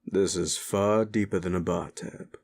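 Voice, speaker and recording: Stern, slow-spoken underworld club keeper, played by a man. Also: low-pitched, fairly even intonation, creaky, darkish tone, heavily acted. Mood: stern warning. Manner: measured, scolding, authoritative. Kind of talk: dramatic audio roleplay acting.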